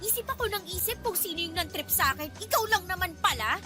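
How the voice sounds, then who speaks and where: A woman's voice: very high voice, lively intonation, fast, over loud music. An actress playing an angry, scornful young woman who confronts and scolds a suitor in a Philippine radio drama. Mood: indignant, scornful.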